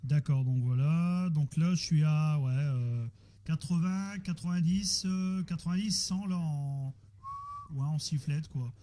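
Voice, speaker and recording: A man with a slightly high voice talks casually as a radio operator. He is hesitant and repeats himself as he works through technical checks, with clear noise on the audio. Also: unhurried. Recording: noisy amateur radio audio with static.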